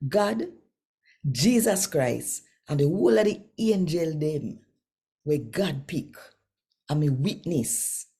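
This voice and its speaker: A Jamaican woman with a very low voice reads scripture in a devotional style. Her tone is dark and soft-edged with some huskiness, and she takes her time, speaking quite slowly. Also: animated, husky. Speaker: host reading daily scripture. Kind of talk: scripture reading and devotional talk in Jamaican Patois.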